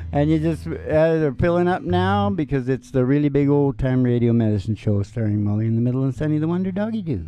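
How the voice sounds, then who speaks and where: A man's voice: medium pitch, moderate pace, mellow. A man in his sixties hosting a show from a stage, giving rambling, folksy, laid-back banter that drifts off into asides. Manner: meandering, laid-back storyteller with trailing-off asides. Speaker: musician and show host. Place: small indoor stage with curtain. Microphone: stand microphone, close. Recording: live music behind the voice. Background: acoustic guitar picking.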